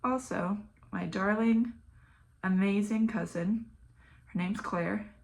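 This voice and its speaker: A young woman with a Southern American accent, chatting flatly and casually while doing her makeup, sometimes dropping to a mumble or whisper. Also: mid-range voice, slow pace, creaky. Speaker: beauty vlogger. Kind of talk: casual get-ready-with-me chat.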